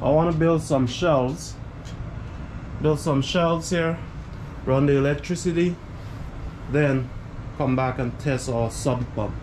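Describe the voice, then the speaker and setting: Dude vlogging a basement fix, kinda high voice, chill explainer, noisy room with ac humming. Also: male voice, slow, long pauses. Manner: relaxed step-by-step explaining. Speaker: home renovation vlogger. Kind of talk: casual how-to narration. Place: unfinished basement indoors.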